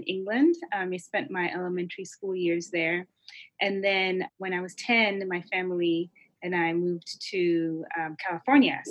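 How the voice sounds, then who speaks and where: A woman from Kenya, a guest entrepreneur, telling her story in a lively, conversational way. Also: on the low side, no echo, rough recording.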